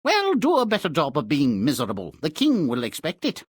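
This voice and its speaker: A sly servant cat from a fairy tale game. The voice swoops extravagantly from purr to flourish, all oily courtesy with mockery underneath, in a dry, close recording. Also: male voice, a little high, huge pitch swings, fairly quick, slightly rough, no room sound, heavily acted. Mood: teasing, flattering. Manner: oily mock politeness, purring insinuation. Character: sly, flattering, mocking trickster cat servant. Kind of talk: theatrical video game fairy tale acting.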